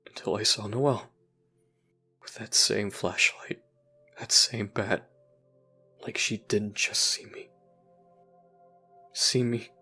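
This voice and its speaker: An actor playing a brooding, guilt-ridden young person recounting painful memories in a somber, halting audio drama monologue. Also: male voice, lowish voice, fairly even intonation, sharp tone, breathy edge, faint music behind, heavily acted. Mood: regretful, heavy.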